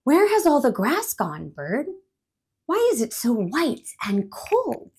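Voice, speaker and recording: A woman doing an excitable little squirrel. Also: takes it slowly, husky, full character voice. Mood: curious. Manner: exclamatory, high and loud, childlike questions. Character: excitable little male squirrel, curious and fussy. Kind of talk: storybook read-aloud voice.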